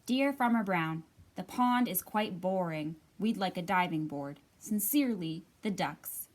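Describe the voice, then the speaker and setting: A woman voicing polite but firm storybook farm animals reading out their notes in a formal, measured way. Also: on the low side, lively intonation, pitched below the performer's own voice.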